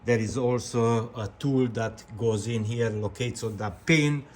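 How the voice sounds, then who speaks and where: Slow-talking man vlogging over clear background noise. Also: medium pitch, fairly even intonation. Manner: explains step by step, addressing viewers directly. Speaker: vlog host. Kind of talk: casual hands-on vlog talk to viewers.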